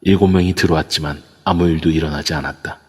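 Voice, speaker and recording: A man narrating an amateur audio drama in a steady storytelling manner. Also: very deep voice, fast talker, husky. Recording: long reverb over a voice app.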